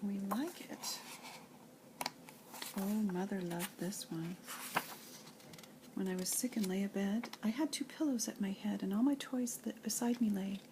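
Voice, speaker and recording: An older woman, a grandmother, reading nursery rhymes and children's poems aloud in an expressive, playful sing-song way. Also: mid-range voice, measured pace, pauses often, noisy background.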